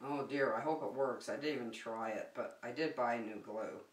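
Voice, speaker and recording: A woman's voice: a bass voice, normal speed. A middle-aged woman hosting a children's circle time and craft lesson, speaking in a sing-song, encouraging way with simple phrases. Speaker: preschool circle time teacher and host. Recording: echoey room, distant microphone.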